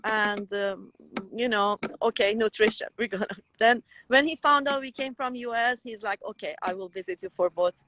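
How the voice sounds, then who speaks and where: A woman's voice: a little high, moderate pace, a bit bright, a little hiss or hum, low-quality recording. A woman with a Persian accent, a native Persian speaker, talking as an interview guest with many restarts and fillers. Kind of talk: conversational interview.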